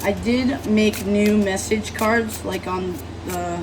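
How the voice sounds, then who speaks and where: Woman tarot host, hoarse from illness, loose and rambling, distant mic, audible background hum. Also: mid-range voice, takes it slowly. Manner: rambling, apologetic, many fillers. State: sick, rough smoker-like voice. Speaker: tarot reader. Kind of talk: casual tarot reading monologue.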